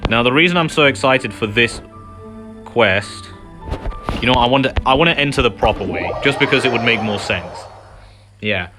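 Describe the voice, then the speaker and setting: A man's voice: medium pitch, normal speed, long pauses. A man hosting a gaming video with casual, conversational playthrough commentary. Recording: game music behind voice.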